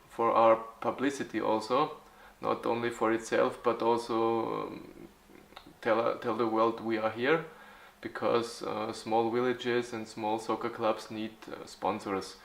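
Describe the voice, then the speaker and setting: A man in his forties from Austria, answering interview questions haltingly, with many fillers and restarts. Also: fairly low pitch, flat delivery, gravelly, fairly bright tone, reverberant. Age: 40s. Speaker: youth football club organizer.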